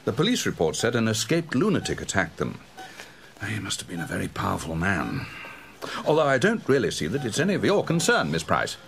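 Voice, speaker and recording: An actor playing a brisk, authoritative English hospital doctor with a crisp, formal, dryly sardonic manner. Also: male voice, fairly low pitch, huge pitch swings, a bit bright, a little hiss or hum, heavily acted. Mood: stern, brisk. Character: brisk, authoritative male British hospital doctor, faintly exasperated. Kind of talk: dramatic film-style acting. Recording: music behind dialogue.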